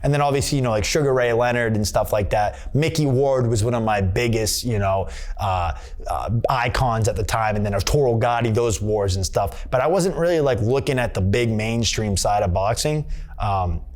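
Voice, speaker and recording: Rambling New boxer, filler-heavy. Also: male voice, medium pitch, moderate pace, a little mellow. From Massachusetts. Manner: rambling, many fillers and restarts. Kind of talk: candid sit-down interview. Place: boxing gym.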